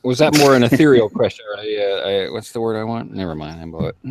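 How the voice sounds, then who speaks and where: A man's voice: on the low side, lively intonation, fairly quick, darkish tone, no room sound. A man in his fifties chatting casually, often trailing off while searching for words. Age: 50s. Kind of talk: casual panel chat.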